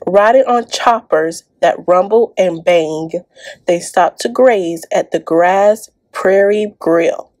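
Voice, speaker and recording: A woman's voice: mid-range voice, darkish tone. A young woman hosting preschool storytime, reading aloud and chatting to small children in an encouraging, sing-song way. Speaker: library storytime host. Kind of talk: preschool storytime, picture book read aloud.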